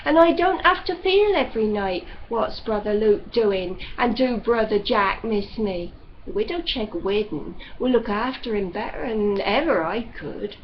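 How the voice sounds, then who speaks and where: A woman's voice: fairly high pitch, highs cut off, slight background noise. A woman voicing a playful, defiant rustic girl who talks in an artless, chatty rural dialect.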